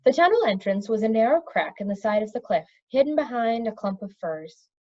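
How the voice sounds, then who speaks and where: A woman reading a fantasy story aloud in an expressive storytelling manner. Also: fairly high pitch, brisk, muffled recording. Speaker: audiobook reader. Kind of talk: reading a children's fantasy novel aloud with character voices.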